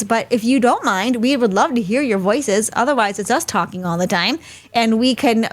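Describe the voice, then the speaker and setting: A woman hosting a live webinar over a video call, chatty and friendly, laughing easily. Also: fairly high pitch. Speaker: host.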